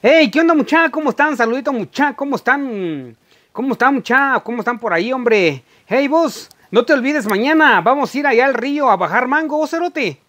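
A man's voice: very high-pitched, lively intonation, fairly quick, a bit bright, pitched above the performer's own voice. A man playing a boisterous Guatemalan buddy, with a Guatemalan accent and a slangy, urging manner, for a vlog.

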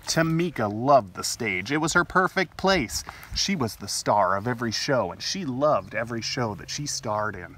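A middle-aged man hosts storytime with an expressive picture-book read. His voice sits at an average pitch. He is outdoors, a little away from the mic, with faint noise around him. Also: normal speed, hardly any pauses, distant mic. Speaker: library storytime host. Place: outdoors in a garden.

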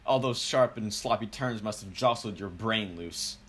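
A man playing a proud old race driver in a comedic radio play, husky, pompous and blustering. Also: lowish voice, normal speed, a bit bright. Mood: indignant.

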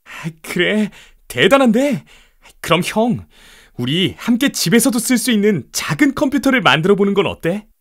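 A man's voice: high-pitched, big pitch swings, normal speed. An actor playing a confident, ambitious young male inventor in a children's audio storybook, earnest and emphatic. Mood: eager.

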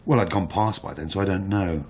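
A man acting an apologetic witness recounting what he saw in a naturalistic radio drama, with a husky voice. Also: sits low, fairly quick, a little mellow, slight background noise. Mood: earnest, apologetic.

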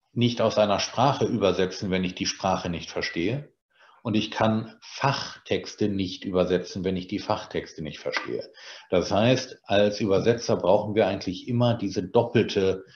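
A middle-aged bilingual man, raised in Germany and England, presenting an online lecture from his home study with many "äh" fillers. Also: low-pitched. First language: German and English, bilingual. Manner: explains slide points, frequent "äh" fillers. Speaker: translator giving a presentation. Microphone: webcam on a video call. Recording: roomy, dull lo-fi sound.

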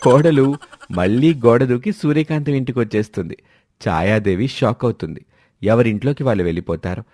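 A man's voice: mid-range voice, fairly quick, mellow, dry recording, studio quality. A man narrating a scripted family moral story.

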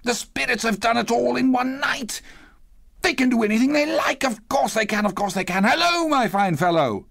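An old miser reborn, pitched high and swooping widely as he exclaims. He is effusive and repeats himself with fervor, in a dramatic storybook style. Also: sing-song, unhurried, creaky, dry recording, clean studio sound, exaggerated voice. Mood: eager delight. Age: older. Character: old miser, reborn, giddy and overjoyed old man.

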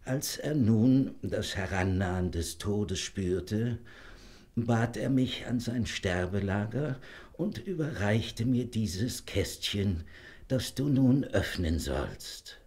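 An actor playing a frail old dying father, gentle, solemn and deliberate, in a fairy-tale audio drama. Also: fairly low pitch, expressive. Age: older.

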